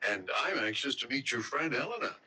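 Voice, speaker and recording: Bright, raspy young fiancé. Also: male voice, medium pitch, sing-song, fairly quick, full character voice, rough recording. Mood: playful, teasing. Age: young adult. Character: protective, teasing young fiancé with a wry streak. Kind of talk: 1970s radio drama acting.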